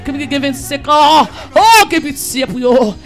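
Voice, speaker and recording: Man, very high voice, fervent chanted prayer, faint music behind. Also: animated, normal speed. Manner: impassioned, repetitive pleading, half-sung, bursts of shouting. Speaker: prayer leader.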